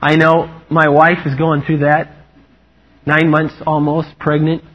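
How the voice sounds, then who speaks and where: A man preaching a lively, humorous sermon, animated and playful, acting out quotes in a higher voice. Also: unhurried, slightly rough. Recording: muffled lo-fi recording.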